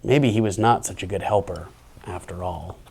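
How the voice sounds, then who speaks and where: A man reading a children's picture book aloud, playful and expressive, dropping into dry, deadpan asides. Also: low voice, normal speed, husky. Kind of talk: animated storybook reading with wry asides.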